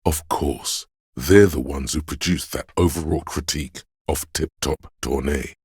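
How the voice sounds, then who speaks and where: A man playing the pretentious, wry inner voice of an art-loving detective in a game, narrating in a theatrical, deliberate, literary manner. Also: darkish tone, dry recording, studio quality, exaggerated voice. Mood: haughty urging. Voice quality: very deep, gravelly, husky. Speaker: game narrator voicing a character's thoughts.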